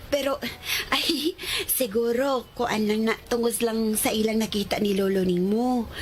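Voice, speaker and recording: A young Cebuano Filipino student in a radio drama, with a fairly high voice. She is chatty and a little coy, circling her point haltingly like someone sharing gossip. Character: Kim, chatty, hesitant young woman, a graduating student.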